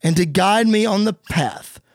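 A man's voice: high-pitched, a little slow, no echo, studio quality. A man playing a smug, showy wrestling villain giving a pompous, theatrical speech to the crowd in a wrestling story. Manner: pompous, theatrical promo delivery.

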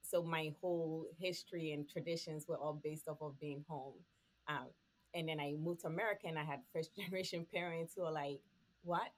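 A woman's voice: deep voice, fairly even intonation, fairly quick. A woman from Ghana, a podcast co-host, sharing holiday memories in casual chat with frequent laughs.

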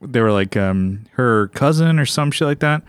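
A man's voice: medium pitch, animated, moderate pace, clean tone, no room sound, clean studio sound. Laid-back young man co-hosting. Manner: laid-back, agreeable, laughs often. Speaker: podcast co-host. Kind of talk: casual podcast chat.

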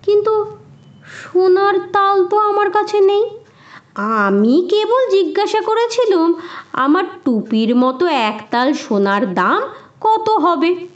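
A woman's voice: very high-pitched, big pitch swings, slow, highs cut off, pitched above the performer's own voice, exaggerated voice. A woman voicing a sly, cheeky, confident poor peasant man in a storybook tale. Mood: innocently cheeky.

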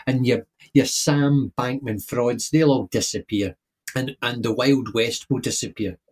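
A man's voice: medium pitch, a little mellow, husky. A man co-hosting a podcast, talking in an opinionated, emphatic way and often repeating words as he starts a point. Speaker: podcast co-host. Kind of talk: casual chat.

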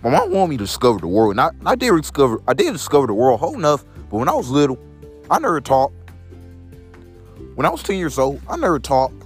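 A deep-voiced man in his twenties from Illinois, vlogging outdoors in the cold in a rambling, repetitive monologue to his viewers. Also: huge pitch swings, fast talker, soft background music. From Illinois, USA. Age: 20s. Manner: repetitive, restates lines in several persons.

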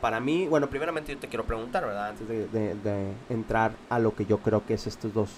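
A man's voice: fairly low pitch, fairly even intonation, fairly quick, a little mellow. A young man with a Mexican accent and a stuffy, congested nose, co-hosting a casual podcast in a laid-back, slangy way. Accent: Mexican Spanish. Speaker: podcast co-host. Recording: noticeable background noise.

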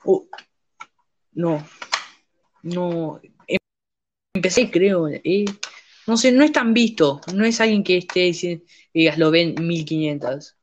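Halting, high-voiced young man. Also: expressive, highs cut off, slight background noise. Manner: rambling, halting, many restarts. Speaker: podcast host. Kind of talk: casual chat.

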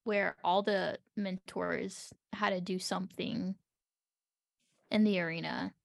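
A woman's voice: on the low side, fairly even intonation, takes it slowly, creaky, no echo, clean studio sound. A woman co-hosting a podcast, talking casually through a book with others. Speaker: podcast co-host.

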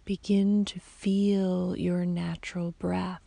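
A woman guiding a sleep meditation as a yoga nidra teacher, in a soft, whispery, soothing voice with long, unhurried pauses. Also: lowish voice, level tone, gravelly, dry recording. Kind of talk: slow guided sleep meditation.

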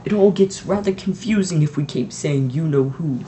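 A reader voicing a gentle, calm old male wizard with a mildly amused manner. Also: mid-range voice, normal speed, slight background noise, close to an everyday voice. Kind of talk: audiobook reading.

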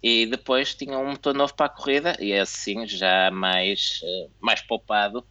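A man talks casually as a co-host, stopping often on fillers before picking his thread back up. His slightly low voice carries a bright, forceful edge. The sound is dry and dull, like lo-fi call audio. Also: steady tone, moderate pace, no room sound. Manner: hesitant, many fillers and restarts. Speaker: co-host of a motorsport tech talk. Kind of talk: casual chat. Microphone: remote call mic.

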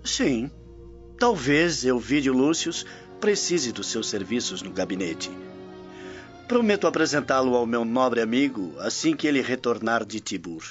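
A man's voice: mid-range voice, sing-song, a little slow. An actor playing a courteous, self-assured Roman nobleman in a Brazilian radio drama, speaking formally. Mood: cordial. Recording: muffled radio drama with music underneath.

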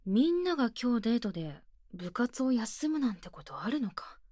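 A woman playing an earnest, shy, tomboyish senior high school girl in an ASMR voice drama, speaking plainly and hesitantly, often near a whisper, close on a binaural mic. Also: sing-song, normal speed, mellow, no echo. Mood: puzzled, musing. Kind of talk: Japanese ASMR situation voice drama. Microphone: close binaural microphone, dry studio.

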